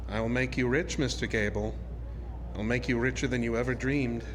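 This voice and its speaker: An actor playing a suave, sinister carnival ride builder in a horror audio drama, speaking formally and with smug, unhurried politeness. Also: male voice, mid-range voice, steady tone, background noise, natural voice. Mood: persuasive, smug. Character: suave, sinister male magician's mechanic and carnival ride artist.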